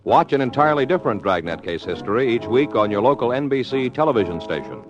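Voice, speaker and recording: A man's voice: medium pitch, big pitch swings, quick pace, over music. A man doing polished, emphatic scripted sponsor announcements in 1950s radio style. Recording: muffled 1950s radio recording.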